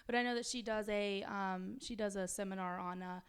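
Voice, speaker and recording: A young woman chatting as a podcast guest, upbeat, with a loud voice that carries. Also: medium pitch, fairly even intonation, moderate pace, clear, resonant tone. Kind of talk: casual chat.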